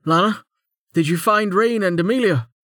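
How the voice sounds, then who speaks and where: A man's voice: high voice, normal speed, a bit bright, no room sound, studio quality, voice pushed up. A man voicing an anxious, insistent male servant in a fantasy audiobook, with a worried, urgent manner. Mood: relieved. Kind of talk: audiobook character acting.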